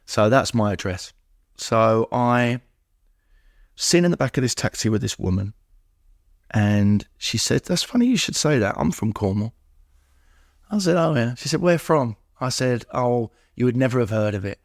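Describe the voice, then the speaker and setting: A man's voice: medium pitch, animated, moderate pace, dry recording, clean studio sound. Middle-aged man telling his story. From England. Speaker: podcast guest. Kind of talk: personal recovery storytelling.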